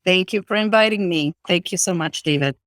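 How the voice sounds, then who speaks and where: A woman's voice: lowish voice, brisk, no echo, clean studio sound. A middle-aged woman with an Italian accent, explaining her organization's work earnestly as an interview guest. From Italy. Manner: earnest, explanatory, frequent restarts. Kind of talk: virtual interview.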